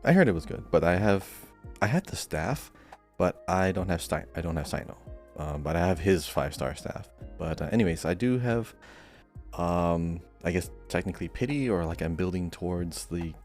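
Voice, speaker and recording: A man's voice: sits low, expressive, normal speed, darkish tone, soft background music. A man co-hosting a podcast, chatting casually about gaming. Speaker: podcast co-host.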